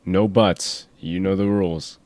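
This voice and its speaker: A man's voice: deep voice, fairly even intonation, measured pace, a little mellow, dry recording, clean studio sound. A man playing a firm but fond father in an audio drama. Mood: gently firm.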